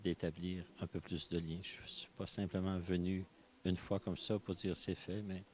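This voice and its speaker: A man from Quebec with a Québécois accent, giving a soft-spoken, hesitant personal testimony in a mosque prayer room. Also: sits low, level tone, moderate pace. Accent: Québécois French.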